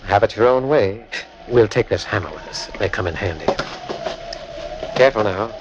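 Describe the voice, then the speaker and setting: Actor as calm, deliberate radio detective, old muffled recording. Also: male voice, on the low side, background noise, close to an everyday voice. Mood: alert, guarded. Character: calm cultured male amateur detective, shrewd and confident. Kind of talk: 1940s radio mystery acting. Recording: dull 1940s radio recording with music.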